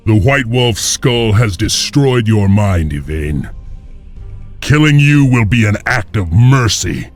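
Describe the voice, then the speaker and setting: Defiant wolf warrior leader, gravelly, slow, swinging expressively, video game drama. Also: male voice, fairly low pitch, lively intonation, soft background music. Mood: menacing.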